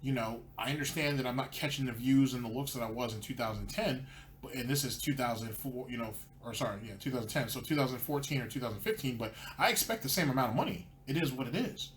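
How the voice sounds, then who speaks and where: A man's voice: mid-range voice, fast. A man hosting a commentary show, ranting sarcastically over clips. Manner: sarcastic, mocking. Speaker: commentary channel host.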